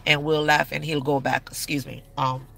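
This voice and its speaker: Gravelly-voiced blunt woman in her thirties. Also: sits low, flat delivery, moderate pace, pushed and bright. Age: 30s. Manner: blunt, candid and slangy. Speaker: relationship advice vlogger. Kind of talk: casual advice talk to camera.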